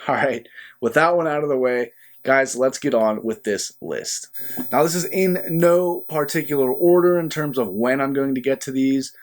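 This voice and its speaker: A young adult man speaks in a relaxed, casual vlog style, his voice a little stuffy and sniffly from being sick. He rambles easily to his viewers, pausing often with small fillers as he chats. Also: mid-range voice. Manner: chatty and easygoing, many filler pauses. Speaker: book channel host. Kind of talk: casual vlog talk to camera.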